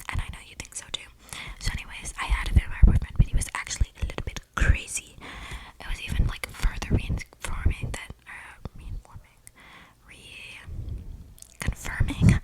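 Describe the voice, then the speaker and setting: A woman's voice: close to an everyday voice, rough recording. Whispering, chatty eccentric vampire girl played by a woman. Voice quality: breathy unvoiced whisper. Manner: rambling, gossipy, slangy, dramatic. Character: eccentric, chatty, flippant young female vampire on the run. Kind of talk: whispered ASMR roleplay. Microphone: close mic picking up breathing.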